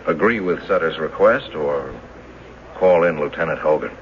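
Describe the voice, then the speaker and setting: A man's voice: rumbling low, normal speed. An actor in a 1970s radio drama playing an earnest, agitated ordinary man facing the supernatural, urgent and insistent. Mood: determined. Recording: dull, noisy 1970s radio recording.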